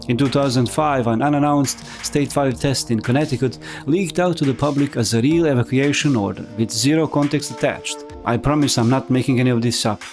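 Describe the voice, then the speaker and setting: A man with a mid-pitched voice narrates a scripted video essay over background music. His delivery is explanatory and conversational, with wry asides worked into the script. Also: a little mellow.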